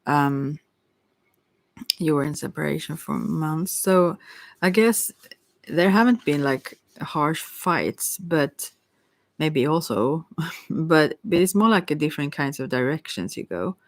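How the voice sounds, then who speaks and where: A tarot reader talking casually and hesitantly, thinking aloud while answering questions, in a soft voice that at times drops to a whisper. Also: mid-range voice, lively intonation, slow, no echo. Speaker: tarot reader hosting a live reading.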